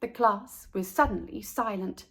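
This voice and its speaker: A woman reading a children's picture book aloud as a storyteller, in a deliberate, expressive manner. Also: medium pitch, gravelly, husky. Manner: slow, deliberate, animated. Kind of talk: expressive read-aloud narration for kids.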